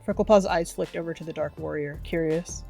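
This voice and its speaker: A woman reads scripted story narration for a roleplay. Her voice sits a little low, and music plays quietly behind her. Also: steady tone, fairly quick, creaky.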